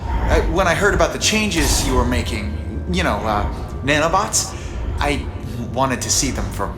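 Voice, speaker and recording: A man's voice: medium pitch, sing-song, a little slow. A man playing a wisecracking, nervous young student hero in a video game cutscene, hesitant and flippant in his delivery. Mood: sheepish, flattering. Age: young adult. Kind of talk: cinematic game acting. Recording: echoey hall, loud music behind.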